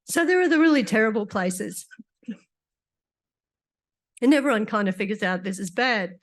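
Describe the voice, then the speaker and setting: A woman doctor gives a conference lecture in a conversational way with dry asides, her voice a bit croaky after illness. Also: medium pitch, lively intonation, moderate pace. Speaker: doctor giving a conference talk.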